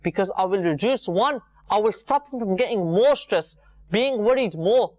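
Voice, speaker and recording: A man's voice: high-pitched, animated, moderate pace, darkish tone, dry recording, studio quality. A man giving an informal, earnest religious talk to viewers, repeating phrases for emphasis. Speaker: religious programme presenter.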